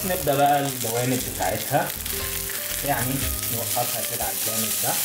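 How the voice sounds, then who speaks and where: A man's voice: medium pitch, fast, reverberant, loud music behind the voice, low-quality recording. A man with an Egyptian Arabic accent hosting a casual cooking demonstration in a home kitchen, explaining each step as he cooks. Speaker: cooking show host.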